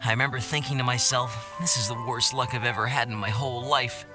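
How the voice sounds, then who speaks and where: An actor playing an earnest, excitable, good-natured young male adventurer in a video game cutscene. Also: mid-range voice, fairly quick, loud music behind the voice, close to an everyday voice. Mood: animated storytelling.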